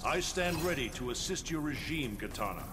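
An actor playing a grave, imperious thunder god who speaks formally in a fighting game. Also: male voice, fairly high pitch, lively intonation, moderate pace, close to an everyday voice. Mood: solemn. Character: stern, solemn male thunder god, protector of realms. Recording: loud game music and effects behind.

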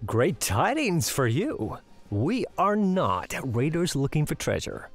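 Man acting a husky, wry fantasy seafarer. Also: on the high side, huge pitch swings, slow pace, faint music behind, exaggerated voice. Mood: amused, grand. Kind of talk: fantasy audio drama acting.

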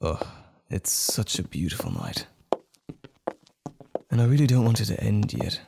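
A man playing a tender, smitten date in an intimate romantic ASMR roleplay, speaking gently and hesitantly with lingering pauses, close to the microphone. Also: on the low side, wildly swooping pitch, creaky, noisy background, full character voice. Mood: gentle, earnest. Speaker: audio roleplay performer.

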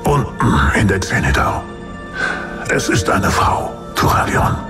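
A man's voice: fairly low pitch, very animated, a little slow, over music. An actor playing a grave male soldier in a fantasy audiobook. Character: grave male soldier bearing bad news.

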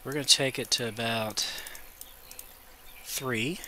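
A man with a cold, sounding congested, giving relaxed, chatty commentary while playing a game and talking to his viewers. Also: medium pitch, slow pace, slight background noise. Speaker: gaming video host. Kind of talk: casual gameplay commentary.

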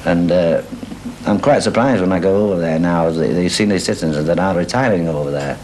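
Hesitant older man, low voice. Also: darkish tone. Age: 60s. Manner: hesitant, halting with many fillers. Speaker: interviewee. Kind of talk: documentary interview. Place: living room at home. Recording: old VHS tape with faint hiss.

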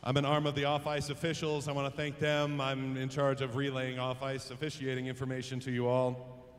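A man's voice: mid-range voice, completely flat delivery. Heartfelt American man echoing through an arena. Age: middle-aged. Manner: halting, heartfelt. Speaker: public address announcer. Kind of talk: unscripted thank-you speech. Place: on the ice in an indoor arena. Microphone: handheld microphone.

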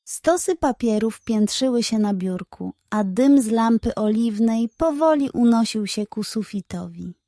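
A woman narrating a scripted bedtime story for children in an expressive, suspenseful way. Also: fairly high pitch, unhurried, few pauses, dry recording, studio quality.

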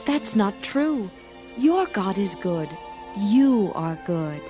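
An actress playing a devoted young daughter-in-law in an animated Bible film, earnest and gentle. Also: female voice, mid-range voice, takes it slowly. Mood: earnest, loving. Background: music.

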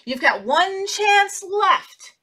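Woman playing a high sing-song scolding fairy. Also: animated, extremely slow, bright, forceful tone, heavily acted. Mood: stern warning. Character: stern scolding good fairy, female storybook godmother.

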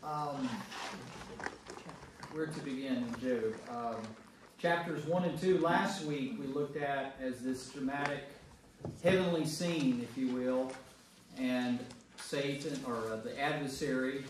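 A man's voice: mid-range voice, slow pace. American man teaching in a very echoey room. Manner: conversational, puts questions to listeners, checking in with "right?". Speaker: priest leading a Bible study. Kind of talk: informal Bible lesson to a group. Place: indoor church meeting room with a group. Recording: echoey room from far off, noisy.

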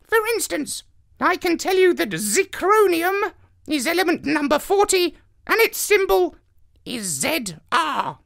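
A man's voice: very high voice, big pitch swings, unhurried, gravelly, fairly bright tone, pitched above the performer's own voice, exaggerated voice. A man voicing a haughty, megalomaniac parrot in a squawky screech for a children's story. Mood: boastful lecturing. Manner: haughty, orating, self-important. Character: pompous megalomaniac male parrot, screechy and imperious.

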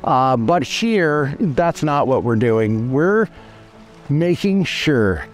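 A man's voice: medium pitch, expressive, very slow, faint music behind. A man in his forties explaining a fish hatchery outdoors by a creek, earnest and matter-of-fact with frequent restarts. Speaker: hatchery manager giving a tour. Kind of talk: on-location explanatory tour.

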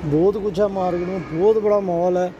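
A man's voice: sits high, steady tone, brisk, muffled recording. A man in his thirties, a travel vlogger giving a casual tour from a car and then inside a shopping mall, filmed on a handheld phone, often repeating a catchphrase as he points things out. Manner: repeats "check karein ji", chatty tour-guide narration. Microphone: handheld phone camera. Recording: background noise.

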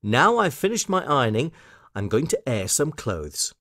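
A man's voice: mid-range voice, very animated, moderate pace, bright, forceful tone, no room sound, clean studio sound. A man voicing a fussy, eager little female hedgehog washerwoman from a children's storybook, with flustered, hesitant politeness. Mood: busy, chatty.

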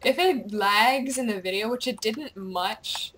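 A young woman chatting casually while gaming, excitable and playful, with goofy exclamations and bits of on-screen text read aloud. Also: fairly high pitch, measured pace, slight background noise, rough recording. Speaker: gamer, video creator. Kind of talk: casual gameplay chat, reading quest captions aloud.

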